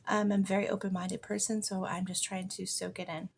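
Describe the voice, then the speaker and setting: An American woman with a noticeably creaky, mid-pitched voice. She talks informally and earnestly, like a podcast host thinking aloud about faith, and she puts emphasis on the words that matter to her. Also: little pitch movement, a little fast, slight background noise. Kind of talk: informal reflective spiritual monologue.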